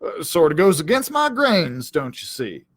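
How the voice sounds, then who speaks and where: Male reader as self-important cowdog in a children's tale; blustery, halting, very animated pitch, dry sound. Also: no echo. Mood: flustered, hesitant. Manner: blustering, hesitant, stammering, pompous. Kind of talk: children's storybook character voice.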